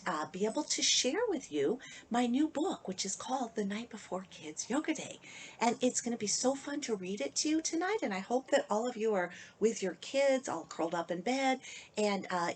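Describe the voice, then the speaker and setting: A woman children's author with a slightly husky voice, reading a storybook aloud to young listeners and chatting to them in an animated way. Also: fairly high pitch, a little hiss or hum. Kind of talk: casual intro and expressive storybook reading to children.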